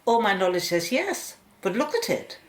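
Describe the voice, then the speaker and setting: A woman plays a focused research scientist in a radio drama, giving brisk instructions. Her mid-pitched voice moves in a lively way, with room echo around it. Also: lightly acted. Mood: intrigued. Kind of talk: radio play acting.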